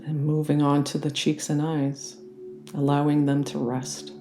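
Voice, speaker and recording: Meditation guide for guided relaxation: soothing, unhurried, soft mellow tone, faint music underneath. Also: medium pitch, monotone. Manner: gentle, soothing, unhurried instruction. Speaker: yoga nidra meditation guide.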